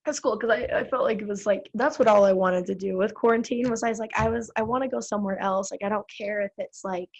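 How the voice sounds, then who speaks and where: A woman's voice: mid-range voice, steady tone, quick pace, hardly any pauses, slightly rough, highs cut off. An American woman hosting a podcast, chatting casually, rambling and laughing often. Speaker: podcast host. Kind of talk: casual chat.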